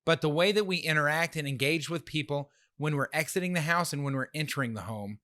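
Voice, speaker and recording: A man with a slightly nasal voice co-hosting a casual talk show, animated and laughing often. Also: on the high side, quick pace, no room sound, clean studio sound. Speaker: podcast co-host. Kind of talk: casual chat.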